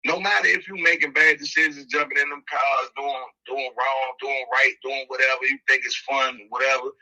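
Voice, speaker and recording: A man's voice: medium pitch, steady tone, normal speed, bright, forceful tone, poor audio. A middle-aged American man telling a personal story on a podcast in casual, slangy street talk, emphatic and acting out the dialogue as he goes.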